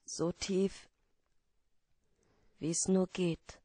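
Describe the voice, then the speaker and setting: An actress playing a guarded, troubled client pleading for help in a German radio play, hesitant and earnest. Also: female voice, lowish voice, level tone, extremely slow, dark tone, no echo. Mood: anxious, resigned.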